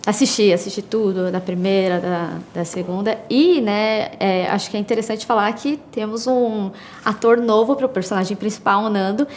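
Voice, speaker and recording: A woman's voice: mid-range voice, moderate pace, hardly any pauses, darkish tone, a little hiss or hum. Chatty woman interviewer. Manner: friendly, chatty, frequent affirmations. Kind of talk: interview questions.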